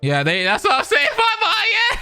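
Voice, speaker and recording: A woman's voice: very high voice, wildly swooping pitch, moderate pace. A woman giving a casual gameplay reaction as a commentator, with playful, slangy asides. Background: game music.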